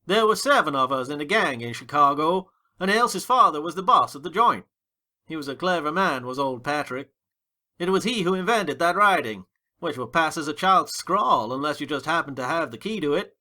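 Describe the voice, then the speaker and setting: A man's voice: fairly high pitch, lively intonation, normal speed, bright, forceful tone. A man playing a hot-tempered, defiant American gangster in a dramatic audiobook reading, with slangy, emphatic delivery. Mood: confessional.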